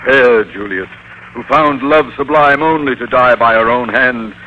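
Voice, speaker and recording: An actor playing a pompous, blustering American school principal in middle age, in an old-time radio comedy. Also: male voice, mid-range voice, a little slow, sharp tone. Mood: mock-sorrowful, theatrical. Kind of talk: 1940s-50s radio comedy acting. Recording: muffled, noisy vintage radio recording.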